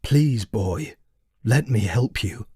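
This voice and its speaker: A man's voice: lowish voice, lively intonation, slow pace, warm tone, slightly rough, no echo, studio quality, heavily acted. A towering knight from a storybook, voiced by a man. He is noble and earnest, a compassionate rescuer who speaks with conviction. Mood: pleading.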